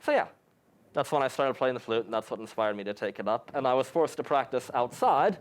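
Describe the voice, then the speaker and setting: A man's voice: mid-range voice, expressive, brisk. A young man from New York, a student guest in a campus TV studio, answering with dry, mock-grandiose humor. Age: young adult. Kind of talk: tongue-in-cheek interview answers.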